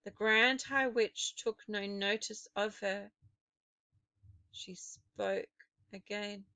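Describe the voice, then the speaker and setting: A woman narrating a children's novel aloud in a deliberate, expressive, dramatic storytelling style. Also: mid-range voice, clear, resonant tone, no echo. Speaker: storybook reader.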